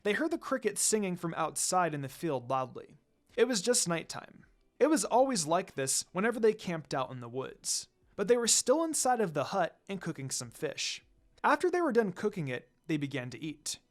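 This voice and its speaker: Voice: mid-range voice, sing-song, moderate pace. A narrator reading scripted fan fiction as a story.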